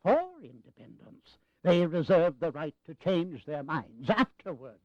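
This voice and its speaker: Slow, high-voiced elderly statesman. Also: expressive, no room sound, lo-fi sound. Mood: amused. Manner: deliberate, sardonic, chuckling. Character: elderly, wry, radical American statesman. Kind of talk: audio drama acting.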